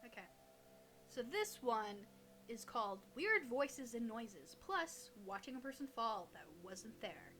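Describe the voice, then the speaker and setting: A young woman with a fairly high voice reads creepy stories in a relaxed, chatty way. She co-hosts and drops joking comments into what she reads. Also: a little slow. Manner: joking, interjecting asides while reading. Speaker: co-host reading listener stories. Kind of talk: casual chat and reading aloud creepy stories.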